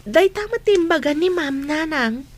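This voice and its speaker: A woman's voice: high voice, animated, measured pace. A woman playing a polite, friendly young doctor in training in an audio drama. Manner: respectful, friendly. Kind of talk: Philippine audio drama acting.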